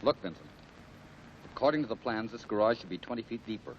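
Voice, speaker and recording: Deep-voiced stern serial hero. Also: male voice, expressive, fast talker, slight background noise. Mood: resolute. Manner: terse, matter-of-fact, commanding. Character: resolute male crime-fighting hero, stern and commanding. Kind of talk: 1940s movie serial acting. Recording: muffled old 1940 film soundtrack, music.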